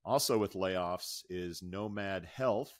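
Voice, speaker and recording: A man's voice: sits low, takes it slowly, no room sound. A middle-aged American man co-hosting a podcast, switching between upbeat banter and news reading into a close microphone. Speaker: podcast co-host. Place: indoor room at home, video call.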